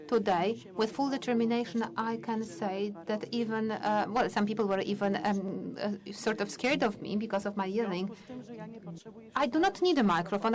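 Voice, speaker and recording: Older woman testifying in a crowded hall. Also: medium pitch. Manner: hesitant, many fillers and restarts. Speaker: conference speaker. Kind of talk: personal testimony speech. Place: large conference hall. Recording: conference hall with audience noise.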